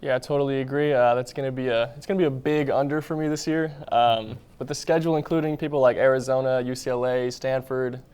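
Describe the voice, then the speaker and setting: A man's voice: mid-range voice, level tone, quick pace, few pauses, darkish tone, smooth. A man giving casual sports commentary as a panelist in a discussion.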